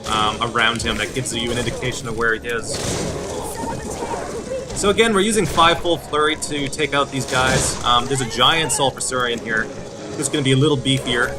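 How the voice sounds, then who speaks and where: A man hosting a gaming video, explaining as he plays in casual commentary with a halting delivery. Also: medium pitch, very noisy.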